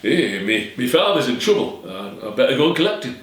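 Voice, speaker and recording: A man's voice: mid-range voice, sing-song, a little fast, close to an everyday voice. Man voicing a blunt, determined young northern lad, echoey and distant. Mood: insistent. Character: brave, stubborn young man, eldest son.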